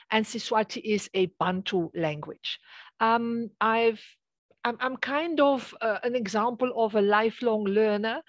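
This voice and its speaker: A woman's voice: fairly high pitch, no room sound. Dry-sounding woman presenting, from Belgium. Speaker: associate professor, guest speaker. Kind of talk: online academic presentation. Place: small office with bookshelves, indoors. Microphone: headset on a video call.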